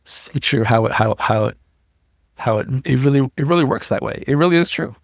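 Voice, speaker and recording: A man's voice: medium pitch, fairly quick, dry recording, clean studio sound. A middle-aged man from Iran, a podcast guest telling his story earnestly and often repeating words as he builds up. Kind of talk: casual interview talk.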